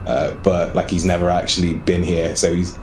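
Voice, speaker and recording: A man's voice: sits low, little pitch movement, normal speed, a little mellow, a little hiss or hum. A man chatting casually as a player in a tabletop roleplaying game.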